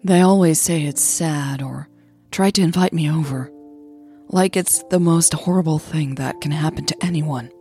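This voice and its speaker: A woman's voice: deep voice, moderate pace, warm tone, soft background music. A woman playing a guilty, guarded, shy woman in an audio drama, hesitant and apologetic. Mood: defensive.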